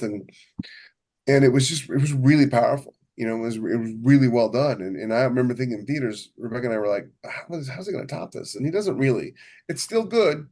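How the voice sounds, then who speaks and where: A man's voice: mid-range voice, a little mellow. A man co-hosting a writing podcast as a novelist, chatting casually and emphatically, often rephrasing himself as he goes. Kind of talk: casual chat about writing craft.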